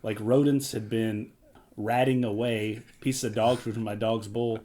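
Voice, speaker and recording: A man's voice: lowish voice, little pitch movement, a little slow, mellow. A man co-hosting a podcast, casually telling personal anecdotes among friends. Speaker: podcast co-host. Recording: faint background noise.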